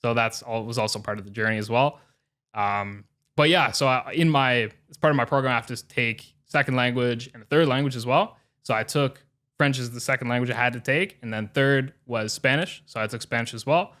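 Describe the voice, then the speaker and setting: A man's voice: medium pitch, a little fast, a bit bright. A man as a podcast guest in casual chat, speaking earnestly and reflectively, with the odd swear. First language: English.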